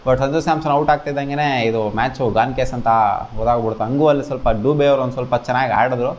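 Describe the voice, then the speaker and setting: A man's voice: mid-range voice, fairly even intonation, fast, a little mellow, a little hiss or hum. A man in his twenties talking to camera in casual, colloquial, exclamatory cricket commentary, recorded on a lapel mic in a small home studio. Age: 20s. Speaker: host of a cricket review channel. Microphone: clip-on lapel mic.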